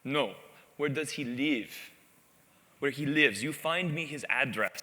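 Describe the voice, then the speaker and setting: A man putting on a gruff, threatening male alliance leader with an exaggerated Russian accent, speaking in blunt, broken phrases. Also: medium pitch, takes it slowly, bright, forceful tone, voice pushed up. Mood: menacing, insistent. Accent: Russian, put on badly. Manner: blunt, insistent, broken grammar. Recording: long reverb in a large hall.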